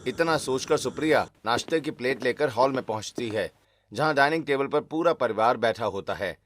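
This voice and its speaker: A man giving scripted narration for an animated family story as its storyteller. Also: mid-range voice, a little fast, dry recording.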